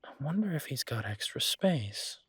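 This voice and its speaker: A man playing an easygoing, affectionate restaurant owner in a roleplay audio drama, chatting casually as if thinking out loud to his partner. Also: medium pitch, very animated, normal speed, husky, no echo. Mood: musing, persuasive. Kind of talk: boyfriend roleplay audio drama, one-sided conversation.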